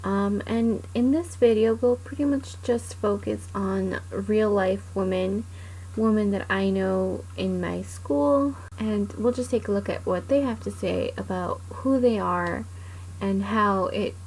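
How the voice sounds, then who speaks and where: A young woman host casually introduces her video. Her voice sits at a medium pitch and she talks in an easy, relaxed way. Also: unhurried, poor audio.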